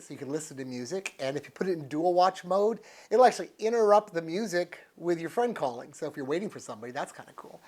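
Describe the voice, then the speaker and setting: An American man in his sixties chatting casually and unscripted as he reviews a product, thinking aloud in a folksy, easygoing manner. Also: a little high. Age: 60s. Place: living room with couch, indoors.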